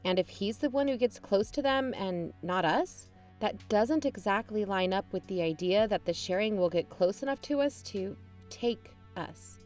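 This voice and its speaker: A woman's voice: medium pitch, lively intonation, normal speed, darkish tone, natural voice. Woman acting as pragmatic, guarded amateur investigator, music underneath. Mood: earnest, firm. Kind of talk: naturalistic audio drama dialogue.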